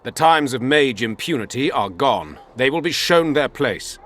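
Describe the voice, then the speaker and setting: An actor playing a stern, dignified royal constable in a fantasy game, speaking formally and with authority. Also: male voice, mid-range voice, lively intonation, a little slow, fairly bright tone. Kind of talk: video game dialogue acting.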